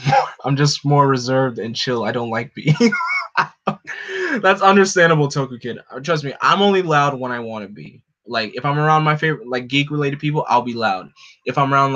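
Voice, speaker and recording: Deep-voiced young man, rambling stream chat. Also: fairly bright tone. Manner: rambling, lots of "like" and "you know"; reads out and answers chat. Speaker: livestream host. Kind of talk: casual chat. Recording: dull lo-fi stream audio.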